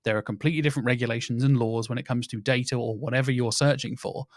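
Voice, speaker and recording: A man hosting a podcast, talking in a casual, animated and rambling way and acting out little quoted exchanges. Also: medium pitch, fast, no room sound, studio quality. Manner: animated, rambling, quotes others in mock voices. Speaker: podcast host.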